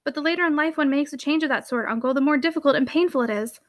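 A woman voicing a meek, unhappy young niece in a storybook, polite, earnest and quietly persistent. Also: sits high, rapid-fire, natural voice. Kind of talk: storybook character dialogue.

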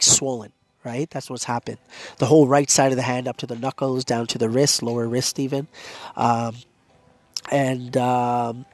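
A man's voice: mid-range voice, no room sound. A man hosting a podcast, talking casually and earnestly with a blocked nose and a fading voice, full of fillers. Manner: rambling, filler-heavy, earnest. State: congested and losing his voice. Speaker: podcast host. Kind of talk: casual personal monologue.

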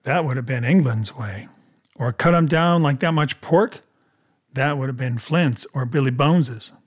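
A man's voice: medium pitch, unhurried, lightly acted. A man voicing a cunning, commanding pirate cook with emphatic, rustic seafaring speech in an adventure audiobook. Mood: cold, emphatic.

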